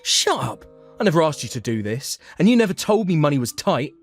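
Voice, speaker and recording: Man acting spoiled younger brother, raised pitch, petulant whine, stubborn, selfish, manga dub, music behind. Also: big pitch swings, brisk. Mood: defensive. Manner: whiny, petulant.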